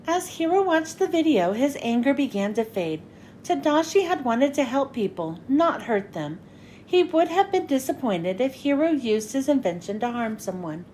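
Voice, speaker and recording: A woman reading a children's story aloud in an expressive, dramatic storytelling style. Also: high voice, a little hiss or hum. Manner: expressive, dramatic with character lines. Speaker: children's book reader. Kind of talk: animated read-aloud storytelling for kids.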